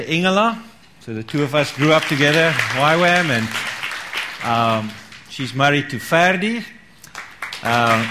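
A man's voice: medium pitch, bright, forceful tone, slight background noise. A man leading a church gathering, inviting testimonies and encouraging people with repeated words of praise. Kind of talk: casual church meeting talk.

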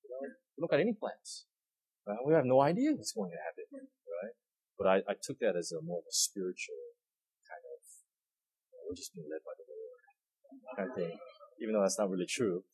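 A man's voice: mid-range voice, very animated, fairly quick, vocal fry, long pauses, a little mellow, breathy edge, poor audio. A man preaching a sermon as a pastor, talking loosely with many fillers, restarts and questions to the congregation. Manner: rambling, many fillers and repeated words, asks the audience questions.

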